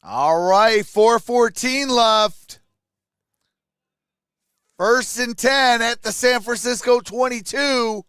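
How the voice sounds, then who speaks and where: Man, very dry close sound, unhurried delivery, casual stream host reacting to a game. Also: very high voice, fairly bright tone, clean tone, no room sound. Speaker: live sports stream host. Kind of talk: casual live reaction commentary.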